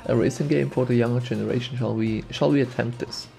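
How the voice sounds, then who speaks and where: Gravelly man with a slight cold. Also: mid-range voice, fairly even intonation, fast, mellow, soft background music. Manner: thinks aloud, muses and repeats himself. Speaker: let's play host. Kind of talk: casual gameplay commentary.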